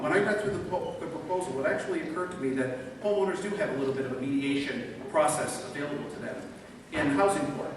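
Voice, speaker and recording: A man giving earnest, persuasive testimony as a public commenter at a city council meeting. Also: on the high side. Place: large council chamber indoors. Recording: echoey council chamber from far off, noisy.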